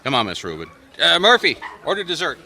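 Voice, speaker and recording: A man's voice: on the high side, wildly swooping pitch, fast talker, gravelly, sharp tone, slight background noise, full character voice. A man playing a wry, protective private detective for hire in a radio mystery drama. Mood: wary, brisk.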